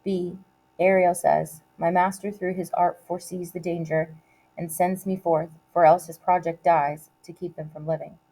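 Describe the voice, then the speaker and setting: A woman reading aloud like a teacher giving a test, in a measured, deliberate way with short, separated phrases. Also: lowish voice, level tone, dark tone, low-quality recording. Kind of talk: read-aloud of multiple-choice questions and quoted play lines.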